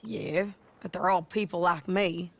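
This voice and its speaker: An actress playing a hardened, wry frontier bounty hunter in a western video game, drawling with an American Southern accent. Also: female voice, fairly low pitch, unhurried, creaky. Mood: bitter, matter-of-fact.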